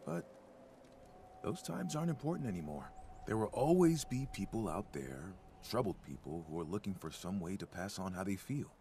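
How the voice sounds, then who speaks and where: An actor playing a gentle, soft-spoken male ghoul who calmly accepts hardship, in video game dialogue. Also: low voice, moderate pace, slight background noise. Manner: calm, mild, accepting.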